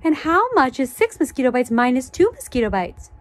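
Unflappable storybook schoolmistress, acted by a woman, high and animated, firmly instructive. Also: a little fast, no room sound. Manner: emphatic, instructive. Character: brisk, firm, unflappable female schoolteacher.

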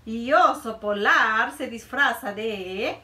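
A woman in her thirties reading a picture book aloud to children in a playful, expressive way, in a small room. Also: a little high, slow pace, reverberant, distant mic. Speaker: children's librarian. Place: small room indoors, before a board.

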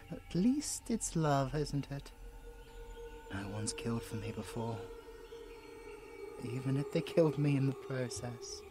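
A man's voice: medium pitch, lively intonation, takes it slowly, long pauses, music in the background. A British man playing a mortally wounded, sleepy man fading away tenderly in an intimate audio roleplay. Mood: weak, tender.

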